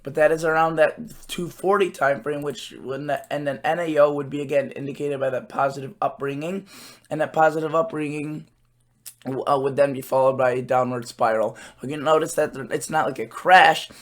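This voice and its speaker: A man hosting his weather channel, his voice a bit hoarse, explaining forecast charts in a casual, halting way with lots of filler words. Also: fairly high pitch, fairly even intonation.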